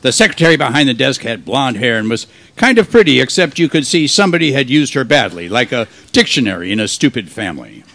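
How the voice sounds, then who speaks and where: A man playing a world-weary, wisecracking private detective in a hard-boiled radio play, delivering his lines deadpan. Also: medium pitch, fast, slight background noise. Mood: wry narrating. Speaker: amateur actor in a live radio play. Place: live performance on a cruise ship.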